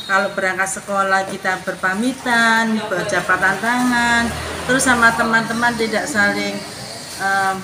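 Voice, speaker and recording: A middle-aged woman, a preschool principal, explaining her views conversationally in an interview with many examples. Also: on the high side. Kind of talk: interview answer, explanatory talk. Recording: echoey room with clear background noise.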